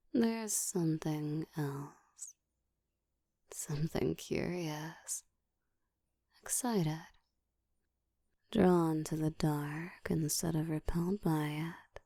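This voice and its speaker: A woman voicing a seductive, dominant ancient vampire in an intimate ASMR roleplay, teasing and commanding, often slipping into a soft whisper. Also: dry recording, clean studio sound, heavily acted. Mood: hypnotic, commanding. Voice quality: soft, breathy whispering mixed with low murmur.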